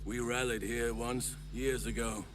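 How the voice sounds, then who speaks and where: An actor playing a weary, earnest elven archdruid in a fantasy game, speaking in a measured, solemn way. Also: male voice, medium pitch, level tone, noisy background, lightly acted. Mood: wistful. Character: weary, earnest elder elf archdruid, male. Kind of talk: fantasy game character acting.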